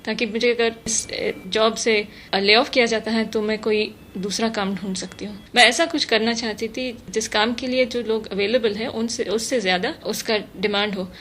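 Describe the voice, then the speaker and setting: A woman giving measured, thoughtful interview answers, mixing English terms into her speech. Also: fairly high pitch. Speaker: interview guest, translator. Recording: noticeable steady background noise.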